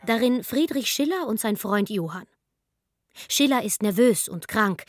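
Wry, conversational archaeologist narrator. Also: female voice, a little high, lively intonation, measured pace, no echo, studio quality. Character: wry female archaeologist storyteller. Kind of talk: scripted narration in a radio play.

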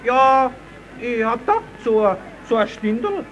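An actor playing a cheeky, slow-witted slapstick puppet clown, stubborn and mock-naive, in a 1930s German radio comedy. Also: male voice, very high voice, big pitch swings, a bit bright, muffled recording, exaggerated voice. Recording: old 1930s radio recording.

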